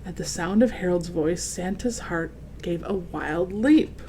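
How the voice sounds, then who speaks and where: A woman reading a children's picture book aloud in an expressive way, pausing to explain and react to the pictures. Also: on the low side, a little hiss or hum.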